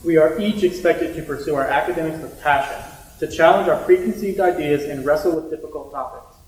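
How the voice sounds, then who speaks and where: Young man, slightly high, in echoey hall. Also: fairly quick, darkish tone. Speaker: student performer. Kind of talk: scripted readers theater delivery. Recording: echoey hall, distant from mic.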